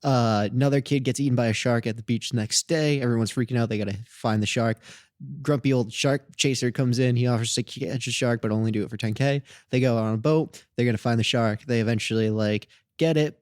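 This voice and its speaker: A man's voice: mid-range voice, steady tone, darkish tone, no room sound, clean studio sound. Jokey young man recapping a movie. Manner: jokey, rapid-fire plot recap, puts on silly voices. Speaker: podcast co-host. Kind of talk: casual movie podcast chat.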